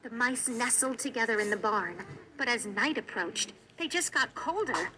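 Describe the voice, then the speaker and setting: A woman reading a children's read-along story in an expressive narrating style. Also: a little high, normal speed, a little hiss or hum, rough recording. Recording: music behind the voice.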